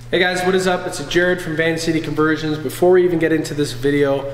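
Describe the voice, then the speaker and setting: A man's voice: fairly high pitch, fairly even intonation, fast, soft background music, rough recording. A young man, stuffy with a head cold, casually presenting a van tour to camera in a workshop. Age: young adult. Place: inside and beside a van in a workshop garage.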